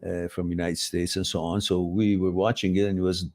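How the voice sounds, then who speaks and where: Low-voiced man giving advice. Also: level tone, brisk, a little mellow. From Iran. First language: Farsi. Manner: rambling, self-correcting, laughs often. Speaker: host. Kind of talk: live-stream advice talk, reading viewer questions.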